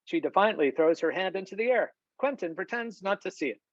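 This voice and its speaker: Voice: mid-range voice, lively intonation, fairly quick, dry recording. A narrator reading stage directions in a scripted screenplay table read. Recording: slightly dull video-call audio, dry.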